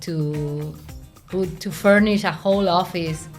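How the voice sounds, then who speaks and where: A woman from Argentina, Spanish her first language, chats as an interview guest. Her voice sits fairly low, and she restarts her phrases and laughs easily. Soft music plays faintly underneath. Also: slow. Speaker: marketing leader guest. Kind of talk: casual interview conversation.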